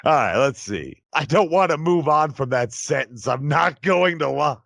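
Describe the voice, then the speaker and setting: A male podcast co-host with very animated intonation and a dry, close recording. He reads stories aloud and breaks off for casual, mock-serious joking. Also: on the high side, moderate pace, gravelly, dry recording. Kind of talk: casual comedic chat, reading stories aloud.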